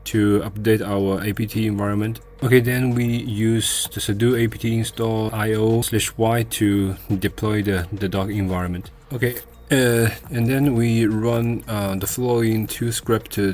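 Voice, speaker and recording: A man presenting a step-by-step software tutorial in an unscripted, halting way, pausing to search for words. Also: lowish voice, slight background noise. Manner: hesitant, halting delivery with searching pauses.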